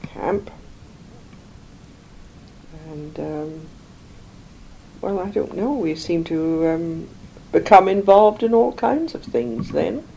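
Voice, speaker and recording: An older woman from England, slowly recalling her life in an interview, rambling with many pauses and fillers. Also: lowish voice, vocal fry, warm tone, slight background noise, low-quality recording. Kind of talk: oral history recollection.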